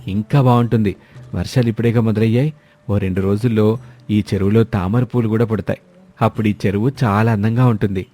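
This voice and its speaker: A man voicing a fond, encouraging young village-born husband in an animated moral story, over music. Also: on the low side, a little fast, natural voice.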